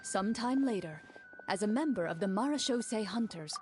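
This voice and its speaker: A woman playing a calm, mellow game master. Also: mid-range voice, slight background noise, natural voice. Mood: narrating. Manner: calm, measured storyteller. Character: cool, composed young woman running a game. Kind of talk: video game voice acting. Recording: game audio with faint music behind.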